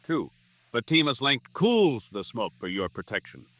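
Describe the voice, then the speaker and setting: A man with a forceful, bright voice announces in an emphatic old-time radio manner. His mid-pitched delivery is animated and declarative, recorded dry. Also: unhurried, no echo. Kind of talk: 1950s radio announcing and advertising.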